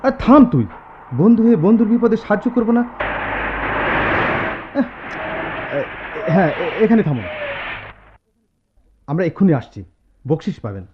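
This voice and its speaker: A man's voice: sits high, very animated, measured pace, long pauses. An actor in a radio drama playing an earnest, loyal young student who tells his friend's story with emphatic, dramatic delivery. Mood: urgent, impatient. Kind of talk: Bengali radio drama acting with narration. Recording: dull noisy old radio-play recording.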